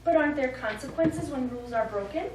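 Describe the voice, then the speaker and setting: An actress playing a shrewd, teasing, discontented wife in a live stage comedy, with a coy, sardonic delivery. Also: female voice, high-pitched, quick pace, distant mic, natural voice. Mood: firm refusal. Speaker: stage actor. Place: small theatre stage, distant microphone. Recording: echoey, noisy stage recording from afar.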